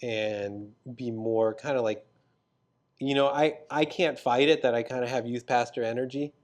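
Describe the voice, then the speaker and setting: A man's voice: medium pitch, steady tone, moderate pace. A young man, a comedian guest, chatting casually on a podcast, rambling through his stories with plenty of fillers. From San Diego, California. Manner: loose, rambling, filler-heavy storytelling.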